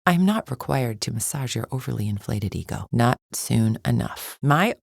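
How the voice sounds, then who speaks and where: A woman's voice: a bass voice, animated, normal speed, gravelly, no room sound, studio quality, heavily acted. An actress playing a proud, disdainful alien arena champion in a video game, a cold warrior woman with stiff, formal, haughty diction. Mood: disdainful, impatient. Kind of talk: scripted video game character lines.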